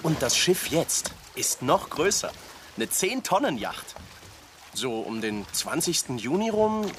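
A man's voice: fairly high pitch, big pitch swings, slow pace, fairly bright tone, a little hiss or hum, heavily acted. An actor playing an easygoing, well-off young man in conversational radio play dialogue. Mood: casual, proud. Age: young adult.

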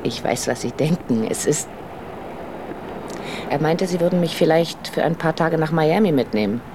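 A woman's voice: low-pitched, normal speed, background noise, close to an everyday voice. A woman playing a blunt young woman. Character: young woman wanting a getaway vacation. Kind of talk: radio drama acting.

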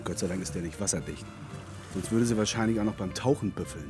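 An actor playing an affectionate young man who teases his girlfriend while filming her, in a playful, lively way. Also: low voice, quick pace, music in the background. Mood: teasing. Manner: teasing, playful commentary. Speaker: boyfriend filming on holiday. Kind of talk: radio drama acting.

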